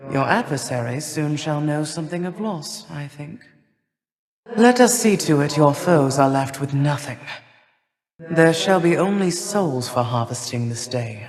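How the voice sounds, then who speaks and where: A woman playing an imperious, cold older goddess of winter and harvest in a video game, with stately, formal, measured delivery. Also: low-pitched, a little mellow. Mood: grave, imperious. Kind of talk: theatrical video game character lines. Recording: long reverberant hall echo.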